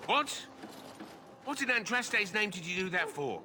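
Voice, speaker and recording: Indignant fantasy game guard: blunt, very forceful, slightly high, faint ambience behind. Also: male voice, big pitch swings, measured pace, a little hiss or hum, full character voice. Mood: outraged. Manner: scolding, blunt, colloquial. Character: indignant camp guard demanding answers.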